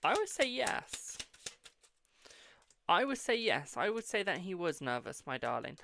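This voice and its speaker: Chatty English tarot host, gravelly voice. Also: mid-range voice, sing-song, a little slow, bright, forceful tone, a little hiss or hum. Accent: English, posh-sounding. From England. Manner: chatty, swears freely, calls viewers "my darling". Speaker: tarot reader hosting a live stream. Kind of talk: live-stream card reading and casual chat.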